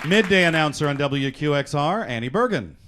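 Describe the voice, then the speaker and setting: Man announcing live over clear crowd noise. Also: on the high side, lively intonation, a little fast. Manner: announcer-like, conversational asides. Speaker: host and director introducing a cast. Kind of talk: live stage introductions. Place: theatre-style performance space before an audience. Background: audience noise.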